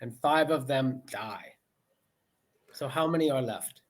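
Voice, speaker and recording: A man's voice: medium pitch, measured pace. A man chats as an online English tutor over a video call. He is patient and keeps his questions simple, often rewording them. He habitually says "okay" twice before moving on. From United States. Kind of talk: casual conversation lesson over video call.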